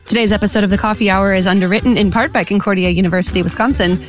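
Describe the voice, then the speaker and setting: A woman's voice: mid-range voice, steady tone, rapid-fire, soft background music. A woman co-hosting a radio show, reading scripted credits and putting questions to guests.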